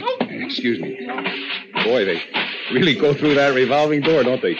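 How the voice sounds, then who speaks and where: Male actor, excitable American husband and new father, chattering and fussy, lively inflection, dull vintage radio sound. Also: medium pitch, moderate pace, loud music behind the voice, natural voice. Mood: fussing, anxious. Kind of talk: 1950s radio drama acting. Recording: muffled, noisy 1950s radio recording.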